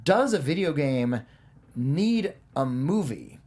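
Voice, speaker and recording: A man's voice: a little high, very animated, slow, darkish tone. A man hosting a film discussion show, talking casually and explaining at length in a chatty way. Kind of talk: casual film review chat.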